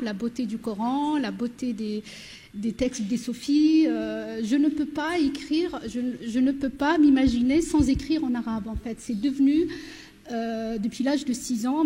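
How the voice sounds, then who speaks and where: A woman's voice: high-pitched, warm tone. A woman writer from southern Morocco, a native Berber speaker, answering a panel question in a reflective, personal way. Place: lecture hall. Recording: reverberant lecture hall.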